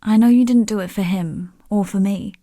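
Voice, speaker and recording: Actress doing a weary bitter exile woman for a fantasy game, soft mellow tone, wry and measured, real dry close sound. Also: medium pitch, no room sound, natural voice. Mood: solemn gratitude. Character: world-weary woman exile, bitter, proud, quietly grieving. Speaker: non-player character in a fantasy action game. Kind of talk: scripted dramatic game dialogue.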